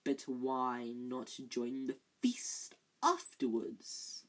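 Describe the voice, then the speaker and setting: A woman voicing a cold, sneering male schoolmaster, slow and hushed. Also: a bass voice, expressive, slightly rough, heavily acted.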